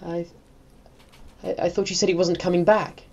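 A man plays a timid, inept brother in an audio comedy. He is snobbish yet hesitant, stumbling evasively over his words in a high voice for a man. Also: flat delivery, moderate pace, dull audio, slight background noise. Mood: confiding, snobbish. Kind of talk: comedy audio drama acting.

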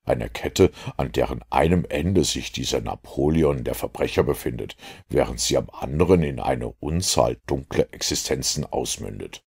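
A man voicing a self-assured master detective who lectures in a measured, superior way in an audiobook. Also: very deep voice, expressive, vocal fry, a little mellow, slightly rough, full character voice. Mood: lecturing, confident. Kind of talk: audiobook character dialogue.

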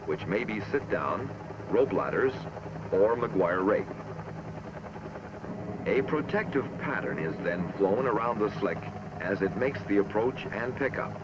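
A man with an American accent reading scripted military training narration in a formal, measured, authoritative way, on an old film soundtrack. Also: fairly low pitch, muffled recording, noisy background.

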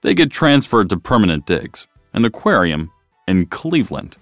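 A man voicing a matter-of-fact, world-weary male octopus in a storybook, explaining things deliberately. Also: fairly low pitch, sing-song, dry recording, clean studio sound, soft background music.

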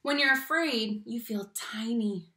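A woman's voice: a little high, slight background noise. A young adult woman reads a picture book aloud to young children, expressively, stressing key words and speaking to the listeners directly. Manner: animated, slow emphatic phrasing on key words, addresses children directly. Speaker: read-aloud teacher and storyteller. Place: small room at home, indoors. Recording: roomy home webcam sound.

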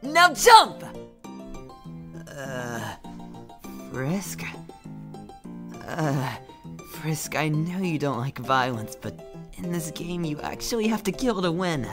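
A woman voicing a gentle, friendly goat child in a comic dub, hesitant and easily flustered. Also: low voice, big pitch swings, takes it slowly, pauses often, music in the background, full character voice. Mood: friendly coaching.

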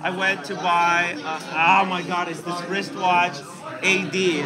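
A man's voice: high-pitched, little pitch movement, takes it slowly. A man, chatting and joking at an event, filmed on a phone at arm's length. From Ukraine. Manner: joking, chatty, hands moving. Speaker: vlogger. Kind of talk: casual chat at an event. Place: busy indoor store event. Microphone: phone camera at arm's length. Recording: loud crowd chatter behind.

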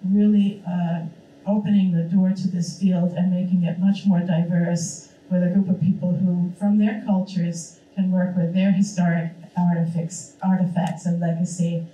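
A woman wraps up her museum career talk in an earnest, hopeful tone. Her voice is low and very dark. The sound is distant and carries a roomy echo. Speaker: museum professional speaker. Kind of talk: closing remarks of a talk. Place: college lecture room. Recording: echoey room, distant mic.

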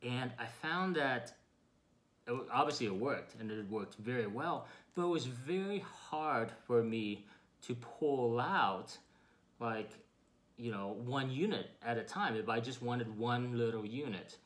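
A man's voice: medium pitch, a little slow. Man, 40s, doctor at his office desk, patient explainer with everyday examples, close webcam sound. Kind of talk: explanatory demonstration. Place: office with framed pictures, indoors.

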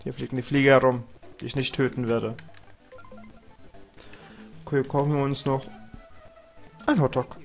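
Young man, stuffy cold, chatty rambling game commentary, faint game music behind. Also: mid-range voice. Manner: rambling, joking to himself, chatty. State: has a cold. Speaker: let's play host. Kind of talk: casual gameplay commentary.

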